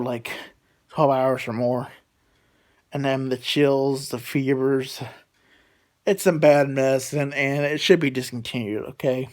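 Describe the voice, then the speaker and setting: A man in his late twenties chatting casually in a rambling way, repeating himself and drifting between thoughts as he talks to his subscribers. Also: mid-range voice. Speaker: vlogger talking to subscribers.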